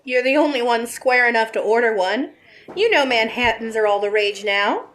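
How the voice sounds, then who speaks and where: A woman playing a tough, sassy 1950s barkeep in a noir radio drama, brash and sharp-tongued. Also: on the high side, lively intonation, normal speed, poor audio. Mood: teasing.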